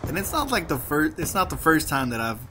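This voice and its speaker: A man's voice: a little high, big pitch swings, fairly quick, sharp tone, slight background noise. Rambling man with a slight Mexican accent. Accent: light Mexican Spanish. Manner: rambling, self-deprecating, frequent restarts and laughs. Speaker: gaming commentator. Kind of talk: casual gameplay commentary.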